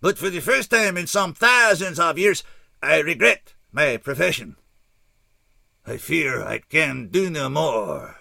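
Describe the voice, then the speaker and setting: Anguished formal alien giant, high and gravelly. Dry sound. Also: male voice, lively intonation, takes it slowly, pushed and bright, no room sound, full character voice. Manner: stiff formal diction, rising to shrieks.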